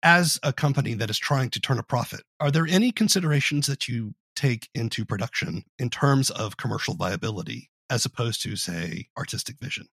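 A man's voice: mid-range voice, animated, a little fast, hardly any pauses, a bit bright, breathy edge, no room sound. A man hosting a podcast, asking prepared questions and reading scripted narration. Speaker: podcast host.

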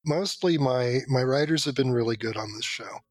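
A man's voice: medium pitch, moderate pace, dry recording, clean studio sound. A man speaking as a drama producer, answering conversationally in an interview. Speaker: drama producer and head of writers.

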